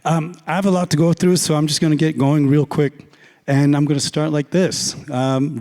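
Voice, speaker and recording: A hoarse man with a raspy voice giving a casual, joking conference talk, full of fillers. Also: mid-range voice, mellow. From Canada. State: hoarse, lost voice. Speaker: conference speaker. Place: large conference hall. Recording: long hall reverb.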